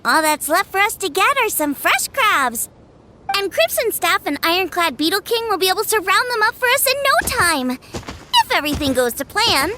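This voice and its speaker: A woman playing a bubbly, energetic, friendly young cook in a video game, perky and eager. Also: extremely high pitch, moderate pace, slight background noise, heavily acted. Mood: cheerful, friendly. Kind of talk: animated video game voice acting.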